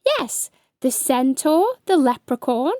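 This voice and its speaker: A gentle troll-ogre monster from a children's storybook, played by a woman, with a high voice. He sounds bashful, plaintive and friendly, in a close, dry recording. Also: very animated, takes it slowly, no echo, full character voice. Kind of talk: children's storybook character reading.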